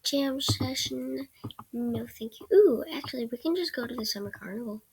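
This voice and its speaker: A woman's voice: high-pitched, expressive, moderate pace, creaky, slightly rough, dry recording. A sick teenage girl who is losing her voice, giving hesitant, rambling commentary while she plays a game on her computer. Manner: hesitant and rambling, often trailing into soft mumbles. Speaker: gaming channel host. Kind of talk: casual gameplay commentary. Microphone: computer microphone during screen recording. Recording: loud background noise with clicks.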